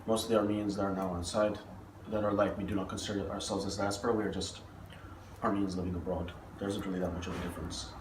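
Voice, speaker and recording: A young man raised in the Middle East, a university freshman, speaks in a lowish voice, often pausing on "uh" and restarting. He is picked up from a distance in a roomy space with a faint hiss under his voice. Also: flat delivery. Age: young adult. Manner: hesitant, frequent "uh" and restarts. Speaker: interviewee, university student. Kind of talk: casual interview answers. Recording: roomy, from off mic, faint noise.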